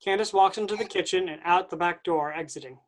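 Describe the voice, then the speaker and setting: A woman's voice: on the low side, a little fast. A woman reading stage directions as scripted narration for a staged play reading.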